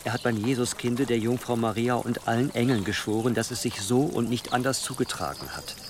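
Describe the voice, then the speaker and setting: A man's voice: medium pitch, a little fast, lightly acted. Man acting an earnest medieval envoy, noisy background. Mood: earnest, deferential. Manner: formal, deferential, insistent. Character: earnest, loyal medieval messenger reporting to his lord. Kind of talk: radio drama acting.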